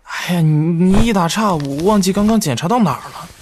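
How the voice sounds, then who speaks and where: An actor playing a gentle, shy young man in love in an audio drama, soft and hesitant, easily flustered. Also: sits high, animated, fairly quick. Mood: flustered, tender.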